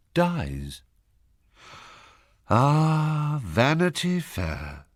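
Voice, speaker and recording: An actor narrating a radio drama as a measured, ironic storyteller. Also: male voice, lowish voice, dry recording, clean studio sound, heavily acted. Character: wry, sardonic male storyteller commenting on society.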